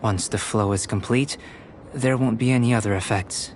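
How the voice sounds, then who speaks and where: A man's voice: fairly low pitch, a little mellow, slight background noise, lightly acted. Cool, analytical young alchemist, played by a man. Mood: clinical, assured. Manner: measured, analytical, precise, professorial. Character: cool, cerebral young male alchemist, calm and detached. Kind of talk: anime-style video game voice acting.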